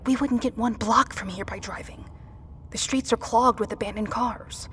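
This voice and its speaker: A woman's voice: on the high side, steady tone, normal speed, a little hiss or hum, close to an everyday voice. A woman playing a tense, protective survivor who gives firm, clipped instructions in an audio drama. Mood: hushed, careful. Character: tense, commanding woman protecting her companions in disaster.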